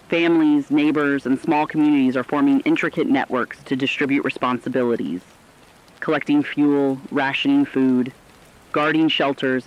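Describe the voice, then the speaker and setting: Dull, slightly lo-fi audio of an actor as an earnest male radio correspondent. His mid-pitched voice delivers scripted dramatic news in a formal, measured way. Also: lightly acted. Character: earnest radio reporter analysing a world catastrophe.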